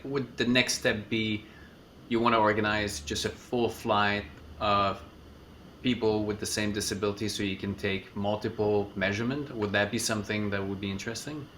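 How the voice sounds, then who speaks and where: A man hosts a casual podcast interview, his low voice carrying a sharp, bright edge. He asks curious, rambling questions, and a faint noise runs under the recording. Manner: curious, loosely phrased questions. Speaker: podcast host.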